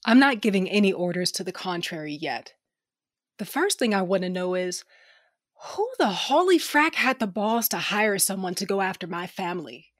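A woman's voice: medium pitch, lively intonation, moderate pace, no echo, clean studio sound, natural voice. Woman as a blunt, tough smuggler captain. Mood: demanding, guarded. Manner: blunt, commanding, salty. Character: tough, shrewd female smuggler captain, guarded and determined. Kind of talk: audio drama acting and first-person narration.

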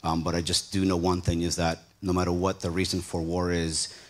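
A man's voice: low-pitched, little pitch movement, fairly quick. A middle-aged man born in Ukraine and raised in the United States, speaking to a congregation in an earnest, conversational way and often stopping to rephrase. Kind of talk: informal talk to a congregation.

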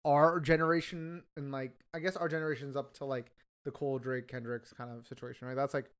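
A man's voice: medium pitch, level tone, fairly quick, clear, resonant tone. A man co-hosting a podcast, chatting casually about an album, rambling with lots of fillers and swearing. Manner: rambling, profane, many fillers. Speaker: podcast co-host. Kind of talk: casual music review chat.

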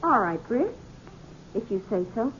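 An actress playing a friendly, teasing young American rancher's wife in a 1950s Western radio drama. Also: female voice, fairly high pitch, big pitch swings, measured pace. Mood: puzzled. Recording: very noisy, muffled 1950s radio recording.

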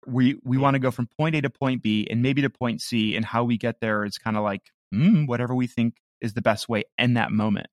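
Casual man, medium pitch, dry sound. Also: fairly even intonation, a little fast, few pauses, no echo. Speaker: audio fiction creator, interview guest. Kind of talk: casual interview chat.

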